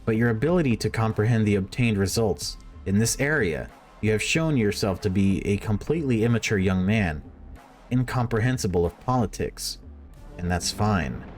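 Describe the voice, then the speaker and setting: Man putting on a stern, preachy low-voiced zealot official. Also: lively intonation, normal speed, soft background music, lightly acted. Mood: cold condescension. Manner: sermonizing, condescending, formal. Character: stern male official preaching machine worship, condescending zealot. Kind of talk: dramatic visual novel read-aloud.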